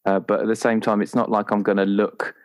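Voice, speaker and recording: A man with a fairly low voice talks off the cuff as a roleplaying game player. He feels his way through ideas, hesitating and doubling back in casual, improvised speech. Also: level tone, brisk, clean tone. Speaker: player creating a roleplaying character. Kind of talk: casual, improvised character discussion.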